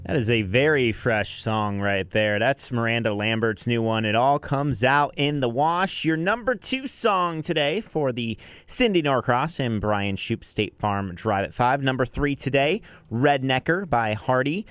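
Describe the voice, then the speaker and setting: A man's voice: mid-range voice, animated, measured pace, hardly any pauses, fairly bright tone, clear, resonant tone, no room sound. An American man presenting an afternoon music radio show as its DJ, linking songs and reading sponsor messages. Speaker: radio DJ, afternoon drive host. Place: radio studio. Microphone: close broadcast microphone.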